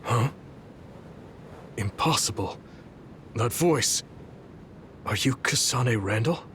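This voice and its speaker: An actor playing a weary, blind old retired hero in a video game, speaking gravely and slowly measured, full of regret. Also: male voice, mid-range voice, expressive, a bit bright, a little hiss or hum. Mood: wary, recognizing. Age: older. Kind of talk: dramatic video game voice acting.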